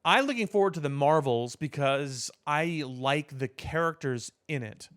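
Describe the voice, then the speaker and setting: A man co-hosting a podcast, talking casually about pop culture in halting, deliberate, explanatory phrases. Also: on the high side, expressive, a bit bright, clear, resonant tone. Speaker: podcast co-host.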